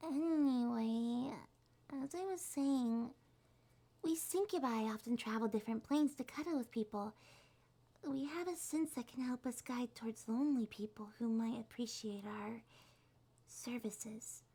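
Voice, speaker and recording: A woman voicing a small, shy, gentle monster girl in an ASMR roleplay, speaking softly, hesitantly and reassuringly. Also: a little high, measured pace, gravelly. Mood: gentle, chatty. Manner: hesitant, soft-spoken, reassuring.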